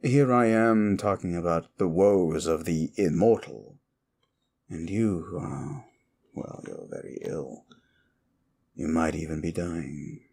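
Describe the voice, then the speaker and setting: A man playing a wicked, contemptuous immortal demon in an ASMR roleplay, speaking in a theatrical, brooding way with a gravelly voice and deliberate pauses. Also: lively intonation, darkish tone, exaggerated voice. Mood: weary, sighing. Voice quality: low, gravelly. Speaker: audio roleplay performer. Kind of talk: ASMR roleplay monologue, addressed to the listener.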